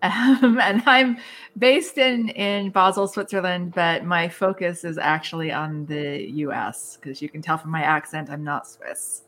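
Playful woman, low voice. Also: fairly even intonation, a little slow, a bit bright, a little hiss or hum. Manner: playful, self-mocking. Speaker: panel guest.

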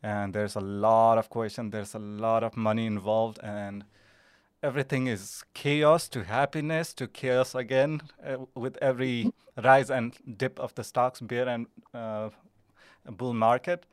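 A man hosting a podcast interview, introducing his guest in a hesitant way with many pauses. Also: mid-range voice, steady tone, clear, resonant tone, no room sound, studio quality. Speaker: podcast host.

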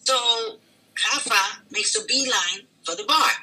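An older woman, a singer, telling a story in an interview with lively, dramatic delivery and acted-out quotes. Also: mid-range voice, measured pace, breathy edge, rough recording. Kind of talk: storytelling in a conversation.

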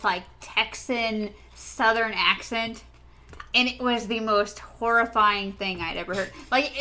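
A woman's voice: a little high, pushed and bright. A woman chatting casually about anime, rambling a little with fillers.

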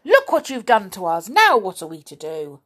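A woman's voice: fairly high pitch, animated, slow pace, voice pushed up. A woman doing a fretful moon-faced man. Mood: exasperated.

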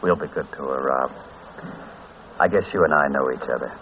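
A man's voice: very deep voice, fairly quick. A man playing a kindly, hearty small-town father in an old-time radio drama. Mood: gentle. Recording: crackly narrow-band old radio recording.

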